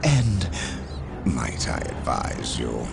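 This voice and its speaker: Slow, hushed, sinister sorcerer. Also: male voice, very deep voice, wildly swooping pitch, gravelly, exaggerated voice. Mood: smooth scheming. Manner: slow, theatrical, hushed menace. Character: scheming male chaos sorcerer, silky, sinister, coolly manipulative. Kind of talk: video game villain acting. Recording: loud music under the voice.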